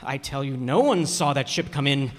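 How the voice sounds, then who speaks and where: An actor playing a superstitious, excitable old seafaring uncle in a radio play, with rustic sailor dialect and emphatic warnings. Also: male voice, mid-range voice, normal speed, close to an everyday voice. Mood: awed insistence. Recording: echoey hall stage recording.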